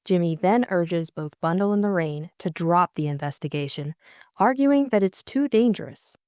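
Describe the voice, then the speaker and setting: A woman's voice: lowish voice, expressive, moderate pace, darkish tone, dry recording, clean studio sound. A woman reading scripted narration as an audiobook narrator and host.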